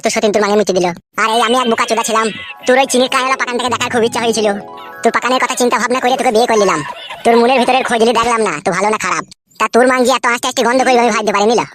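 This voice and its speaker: A woman's voice: a little high, a bit bright, close to an everyday voice. A woman voicing an exasperated, crude cartoon husband who rants and hurls insults in bawdy dialogue. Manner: ranting, insulting.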